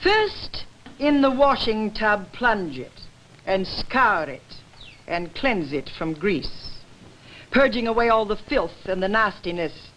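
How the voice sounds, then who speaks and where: A woman performs a proud Greek heroine of classical stage drama, persuasive and emphatic. She declaims her verse slowly and precisely, with a broad melodic sweep in a middle-pitched voice. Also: expressive, a bit bright, slight background noise, low-quality recording. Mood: instructive, rousing.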